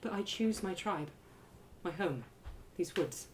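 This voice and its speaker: A woman voicing a proud young elven woman in a story, guarded and formal, speaking with measured resolve. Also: lowish voice, lively intonation, slight background noise. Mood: guarded, regretful.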